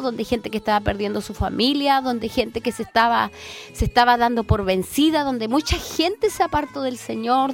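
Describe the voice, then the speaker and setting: Woman radio preacher, fervent and repetitive, somewhat high voice, just over a cold, soft music under her. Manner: fervent, repetitive, with filler "eh" and "¿cierto?". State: recovering from a cold.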